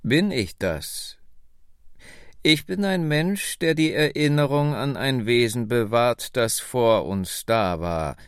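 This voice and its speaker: A man voicing a slow, wistful old woman of nearly a hundred. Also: medium pitch, lively intonation, smooth, no room sound, clean studio sound. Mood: measured. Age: older. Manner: slow, measured, gently insistent. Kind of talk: audiobook dialogue.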